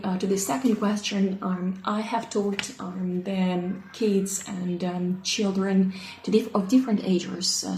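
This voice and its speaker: A woman in her thirties, a native Russian speaker with a Russian accent, introducing herself as an English teacher and pausing often with ums and uhs as she searches for words. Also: medium pitch, mellow, slight background noise. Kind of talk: unscripted self-introduction. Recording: echoey room.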